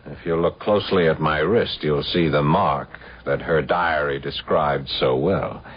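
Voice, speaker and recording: An actor playing a sinister, cool and gloating killer in a radio drama. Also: male voice, very deep voice, unhurried, slightly rough, full character voice. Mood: quietly triumphant. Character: sinister male killer posing as a detective. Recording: dull lo-fi radio recording.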